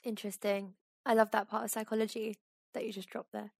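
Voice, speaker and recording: Chatty woman with a gravelly edge. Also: medium pitch, brisk, no echo, studio quality. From Kenya. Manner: chatty, animated storytelling with fillers. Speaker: podcast co-host. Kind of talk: casual conversation.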